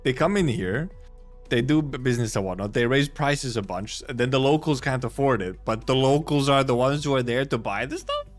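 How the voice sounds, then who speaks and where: A man's voice: medium pitch, sing-song, brisk, soft background music. Joking young man streaming a game read. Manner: casual, jokey asides with swearing. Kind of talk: live-streamed game story reading.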